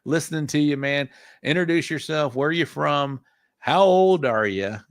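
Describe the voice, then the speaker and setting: A man's voice: on the high side, moderate pace, no room sound, clean studio sound. A middle-aged man hosting a podcast, talking in a friendly way and often calling people "man" and "bro". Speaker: podcast host. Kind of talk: casual podcast interview.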